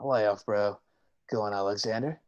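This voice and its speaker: A man's voice: fairly low pitch, normal speed, lo-fi sound, lightly acted. A man playing a laid-back, teasing young surfer-dude sea god in a staged play reading, talking in a slangy drawl.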